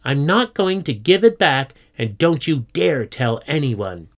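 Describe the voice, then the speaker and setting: A man's voice: medium pitch, big pitch swings, a little slow, pitched above the performer's own voice. A man voicing a spiteful schoolgirl in a storybook, snappy and defiant.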